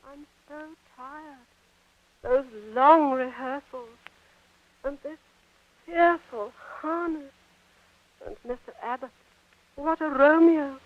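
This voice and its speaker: A woman playing a high-strung, weary young actress and rebellious daughter, with emotive 1940s radio drama delivery. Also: takes it slowly, pauses often, dry recording. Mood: exhausted, plaintive. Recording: muffled narrow-band 1940 radio, music.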